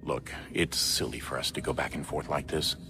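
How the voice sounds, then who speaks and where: An actor playing a stern middle-aged father in a game, speaking in a halting, earnest, remorseful way. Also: rumbling low, fairly even intonation, over music. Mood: apologetic. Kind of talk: video game cutscene acting.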